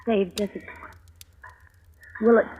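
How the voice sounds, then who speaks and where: An actress playing a frightened, scheming young wife in an old radio drama, talking in anxious, pleading bursts. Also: female voice, mid-range voice, steady tone, slow pace, background noise, close to an everyday voice. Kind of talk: 1940s radio acting. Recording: thin lo-fi old radio broadcast.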